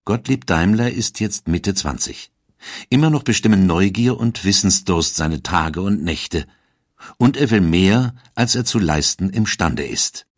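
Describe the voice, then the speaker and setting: A man narrating a historical audiobook in a steady, formal way. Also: sits low, unhurried. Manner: steady, formal storytelling delivery.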